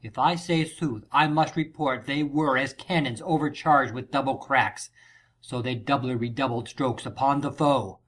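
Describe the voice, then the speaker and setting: A man's voice: mid-range voice, normal speed, a bit bright, lightly acted. A man playing a wounded soldier reporting on battle in declamatory Shakespearean verse for an audiobook drama. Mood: grim, fervent.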